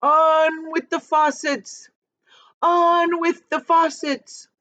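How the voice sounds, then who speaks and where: A commanding cloud creature from a children's storybook, put on by a woman reader. It calls out orders in slow, drawn-out shouts, high and loud, on a slightly dull recording. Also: level tone, no echo, full character voice.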